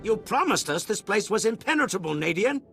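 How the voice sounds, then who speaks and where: A greedy, defensive official. His voice is fairly high, sharp and lively, indignantly justifying himself in a video game cutscene. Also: a little fast, creaky, faint music behind, exaggerated voice.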